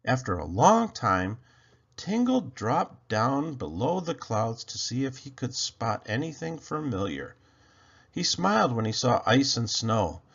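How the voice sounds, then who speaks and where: Slow, animated man reading a children's story. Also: medium pitch, vocal fry, husky, highs cut off. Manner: deliberate, dramatic storytelling. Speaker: storybook narrator, channel host. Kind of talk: expressive children's read-aloud.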